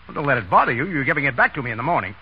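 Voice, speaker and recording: An actor playing a wry, teasing, bossy wisecracker in a 1930s radio drama. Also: male voice, medium pitch, very animated, rapid-fire, lightly acted. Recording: muffled, noisy 1930s radio broadcast.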